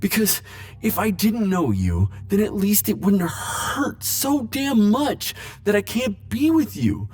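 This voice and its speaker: A man playing a lovesick, world-weary immortal in an intimate romantic audio roleplay, speaking haltingly and emotionally. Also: high voice, sing-song, full character voice. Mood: anguished, yearning. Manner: halting, emotional, stammering confessions with soft wry asides.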